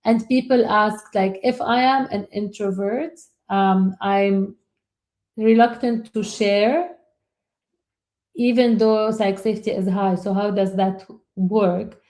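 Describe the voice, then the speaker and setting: A woman co-hosting a webinar, talking casually and haltingly, with frequent restarts and repeated words. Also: medium pitch, fairly even intonation, a little mellow. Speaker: webinar co-host.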